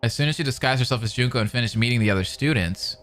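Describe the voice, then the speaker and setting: A man's voice: mid-range voice, rapid-fire, a bit bright. A man hosting a reaction video, reading visual novel narration aloud with casual, slangy commentary. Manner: informal, slangy asides.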